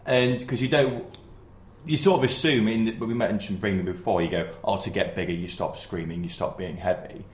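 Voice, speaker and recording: A man's voice: fairly low pitch, steady tone, a little fast. A man co-hosting a casual podcast. He rambles and often restarts his sentences. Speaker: podcast co-host. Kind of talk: casual chat. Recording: roomy echo.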